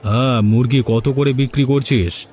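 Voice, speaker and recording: A man's voice: medium pitch, fairly even intonation, normal speed, darkish tone, close to an everyday voice. A man voicing a blustering middle-aged village headman in a cartoon, with music underneath. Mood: businesslike. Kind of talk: Bengali cartoon voice acting.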